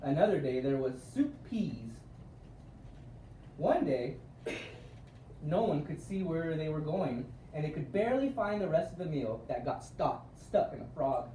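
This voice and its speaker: A man reading a children's storybook aloud in an expressive way with emphatic word stress, recorded at a distance in a room. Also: a little high, unhurried. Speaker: storybook reader. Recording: roomy, distant mic with faint noise.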